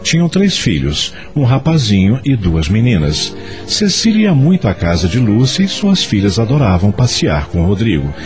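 A man's voice: lowish voice, huge pitch swings, normal speed, darkish tone, soft background music. A man with a Brazilian accent narrating a scripted radio drama.

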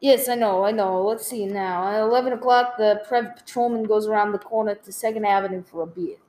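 A woman's voice: medium pitch, steady tone, fairly quick, a little mellow, roomy sound, lightly acted. A woman playing a shifty, businesslike male hired killer in a radio play. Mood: shifty, reassuring.

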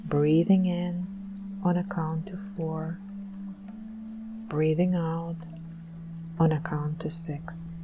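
A woman leading a guided meditation in a gentle, soothing, deliberate manner with long pauses. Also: sits low, little pitch movement, music in the background, poor audio.

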